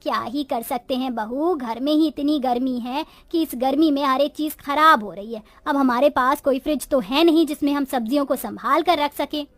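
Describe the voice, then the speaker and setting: Poor village housewife for a cartoon moral tale, played by a woman: high voice, dramatic, complaining, close dry recording. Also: normal speed, few pauses, dry recording, faint music behind, lightly acted. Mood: weary. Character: village women of a poor family, resourceful daughters-in-law and their mother-in-law. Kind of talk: Hindi cartoon moral-story dialogue.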